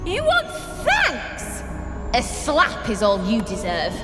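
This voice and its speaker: An actress playing a bitter, grieving young widow in a video game, accusing and anguished. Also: female voice, fairly high pitch, wildly swooping pitch, takes it slowly, exaggerated voice. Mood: angry, bereft. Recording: echoey reverberant space with music behind.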